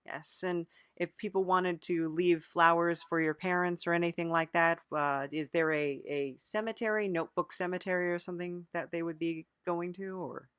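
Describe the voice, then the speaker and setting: A woman's voice: lowish voice, steady tone, normal speed, few pauses, fairly bright tone, smooth. A woman hosting a podcast, chatting casually and playfully with a mock-earnest manner. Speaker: podcast host. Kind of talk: casual improvised interview and show chat.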